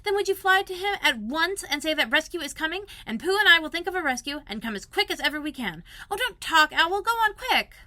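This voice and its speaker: A woman's voice: very high-pitched, sing-song, a little fast, hardly any pauses, sharp tone, no echo, pitched above the performer's own voice, exaggerated voice. A woman voicing an eager, anxious, kind-hearted young boy in a storybook, with breathless, pleading exclamations. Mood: urgent.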